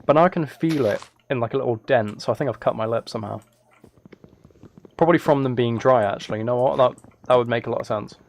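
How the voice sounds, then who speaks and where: A man live streamer talks in a medium-pitched voice as he plays. He thinks aloud in loose, hesitant, rambling sentences, chatting casually with whoever is watching. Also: fast, vocal fry. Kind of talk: casual live stream chat while gaming.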